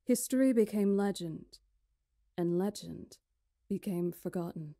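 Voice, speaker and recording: A woman playing a stately magician in a visual novel, recounting history in a measured, formal, solemn way. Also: lowish voice, warm tone, no room sound, clean studio sound. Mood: grave, formal. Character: stately female magician elder recounting ancient history to lords. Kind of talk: visual novel character acting, storytelling.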